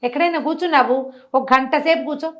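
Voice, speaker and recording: A woman telling a moral story conversationally, with animated delivery, rhetorical questions and everyday examples. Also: sits high, quick pace. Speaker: storyteller, interview guest.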